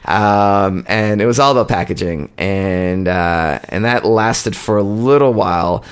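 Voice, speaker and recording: A man, co-hosting a podcast, telling a long, halting story in casual chat. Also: on the low side, clean tone. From born in Poland, raised in Canada. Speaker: podcast co-host.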